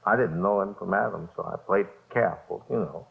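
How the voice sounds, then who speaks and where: An actor playing a jumpy middle-aged small-time bookmaker confessing to police in halting, repetitive speech, in 1950s radio drama style. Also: male voice, sits low, vocal fry. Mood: weary, explaining. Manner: halting, repetitive, nervous hedging. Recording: dull old radio recording.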